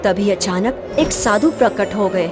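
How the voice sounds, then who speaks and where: A woman's voice: medium pitch, unhurried. Woman narrating a dramatic fairy tale. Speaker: storyteller, narrator. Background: background music.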